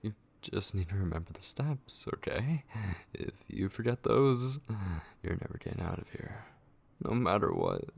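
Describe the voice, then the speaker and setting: A man playing an obsessive, possessive kidnapper in an ASMR horror roleplay, with a breathy, gravelly voice that slips into whispers and lingers tenderly and menacingly over words. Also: on the low side, huge pitch swings, moderate pace, heavily acted. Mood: mocking, menacing. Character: obsessive, possessive male kidnapper, unhinged, taunting and devoted.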